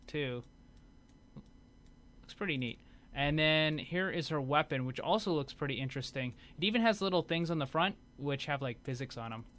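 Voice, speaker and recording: A man's voice: on the high side, fairly bright tone. A man giving casual, off-the-cuff commentary as host of a gaming showcase video.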